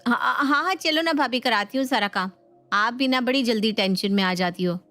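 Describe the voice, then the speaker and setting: An evasive young wife in a family storybook, played by a woman. Her voice sits fairly high, and she talks in a glib, pleading, sweet-talking manner. There is music in the background. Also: normal speed, a bit bright, no echo, lightly acted. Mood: pleading, placating. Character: breezy, evasive young wife hooked on social media. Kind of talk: dramatised Hindi family storybook.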